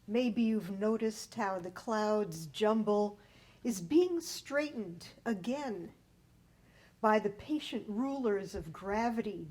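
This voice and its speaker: A woman reading a poem aloud with deliberate, measured phrasing. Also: medium pitch, darkish tone, slight background noise. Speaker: writer reading own poem. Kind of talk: poetry reading.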